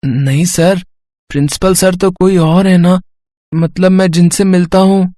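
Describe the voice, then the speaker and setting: Woman as an earnest, mellow-voiced schoolboy. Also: lowish voice, steady tone, measured pace, no room sound, studio quality, lightly acted. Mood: puzzled. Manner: earnest, respectful. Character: eager, polite poor schoolboy devoted to his studies.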